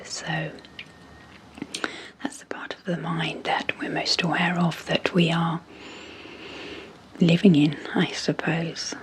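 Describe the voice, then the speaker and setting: A woman speaking in a breathy half-whisper as an ASMR host, slowly and gently, with long pauses, introducing sleep hypnosis. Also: low voice, a little hiss or hum, poor audio.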